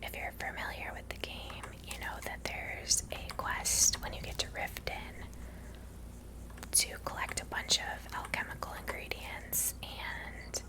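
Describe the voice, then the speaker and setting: A woman whispering ASMR video game commentary in a breathy voice, softly musing to herself. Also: a little slow. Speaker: video game player and streamer. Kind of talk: whispered ASMR gameplay commentary with reading aloud.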